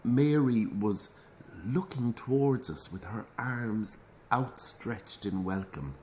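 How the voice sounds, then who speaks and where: Low-voiced pious Irish priest. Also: male voice, lively intonation, slow pace, a little mellow, a little hiss or hum. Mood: reverent. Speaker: actor in audio drama. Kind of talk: naturalistic audio drama acting.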